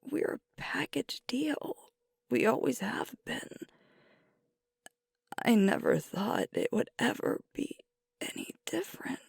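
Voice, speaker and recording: A woman playing a sweet, vulnerable alpha werewolf girlfriend in an intimate ASMR roleplay, speaking softly and hesitantly as she grieves. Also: on the high side, wildly swooping pitch, no room sound, clean studio sound, full character voice. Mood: wistful, hurting. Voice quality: soft, breathy, often whispered. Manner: slow, hesitant, confiding, with pauses.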